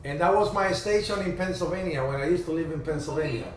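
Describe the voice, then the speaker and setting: A man, hosting a casual ham radio video chat and telling stories in a chatty way, with halting restarts. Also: a little high. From Cuba. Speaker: ham radio livestream host. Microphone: video call. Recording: distant roomy video-call audio, faint hiss.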